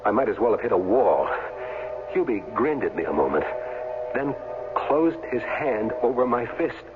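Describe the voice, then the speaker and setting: A man's voice: mid-range voice, sing-song, moderate pace, lightly acted. An actor playing a wary, stubborn down-on-his-luck ex-athlete in a 1970s radio drama, plain-spoken and hard-boiled. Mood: grim narration. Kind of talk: 1970s radio drama dialogue and first-person narration. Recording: tinny vintage radio broadcast, music underneath.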